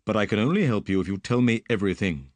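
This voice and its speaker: A composed male detective, quick and decisive, voiced by a man reading the story. The voice is close and dry. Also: on the low side, expressive, no echo, lightly acted. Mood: brisk. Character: calm, clever male detective.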